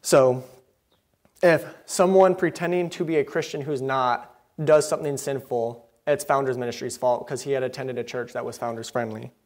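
A young man preaching a sermon from behind a pulpit into a pulpit microphone, earnest and mildly indignant, with quick asides. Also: mid-range voice, steady tone, darkish tone. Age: young adult. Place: church sanctuary, behind a wooden pulpit.

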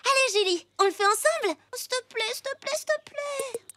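A woman's voice: very high voice, expressive, normal speed, bright, forceful tone, slight background noise, exaggerated voice. A woman voicing an upbeat, persuasive and determined young schoolgirl in a cartoon, with an eager, coaxing delivery. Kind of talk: animated cartoon voice acting. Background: music.

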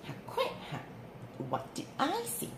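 A woman's voice: high voice, huge pitch swings, unhurried, voice pushed up, heavily acted. A woman voicing a mocking, boastful storybook duck with a taunting delivery. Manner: taunting, with quacks. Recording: roomy with clear background noise.

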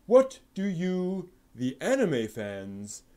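A man's voice: a little high, huge pitch swings, extremely slow, dark tone. A man giving a theatrical, comedic dramatic reading of an old forum post. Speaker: reader.

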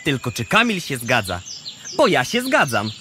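A man playing a calm, thoughtful schoolboy in a children's audio drama. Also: mid-range voice, moderate pace, noisy background.

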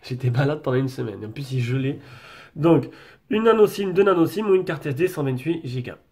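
A man's voice: medium pitch, a little fast, darkish tone, husky. A man with a French accent and a head cold talking through his nose, chatting casually and hesitantly as he reviews a phone at his desk. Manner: chatty, hesitant, many fillers and restarts. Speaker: tech reviewer. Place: desk setup with a branded backdrop, indoors.

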